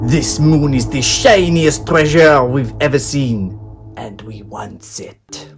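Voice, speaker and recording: A man voicing a bossy, blustering male pirate captain who talks loudly and shouts. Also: medium pitch, slow pace, creaky, over music, pitched above the performer's own voice. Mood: scornful.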